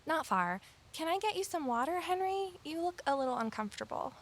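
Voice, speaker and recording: A woman's voice: high voice, sing-song, fairly quick. A woman playing an earnest, scheming amateur sleuth who narrates her own story in an audio drama. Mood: friendly.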